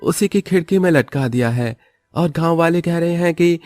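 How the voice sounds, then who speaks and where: A man voicing an excitable, curious young village man who is easily panicked, in a moral horror cartoon story. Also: a little high, normal speed, no echo, voice pushed up, natural voice. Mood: curious, worried.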